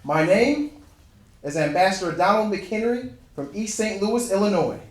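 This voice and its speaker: A dignified ambassador formally recites his honours, read by a man on stage. The voice is high for a man and echoes around the room. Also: animated, normal speed. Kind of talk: dramatic reading, first-person monologue.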